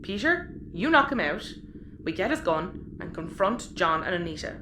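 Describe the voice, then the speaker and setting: A woman's voice: on the low side, big pitch swings, moderate pace. A woman playing a level-headed, commanding member of a spaceship crew in a sci-fi audio comedy. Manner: decisive, practical. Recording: roomy, noisy ambience.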